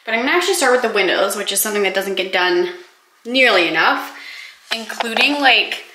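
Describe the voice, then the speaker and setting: A young woman vlogging to a handheld camera at home in a chatty, excited way, laughing now and then. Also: mid-range voice, fairly quick, reverberant, background noise. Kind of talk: casual vlog talk to camera. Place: home living room and kitchen, indoors. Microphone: handheld vlogging camera at arm's length.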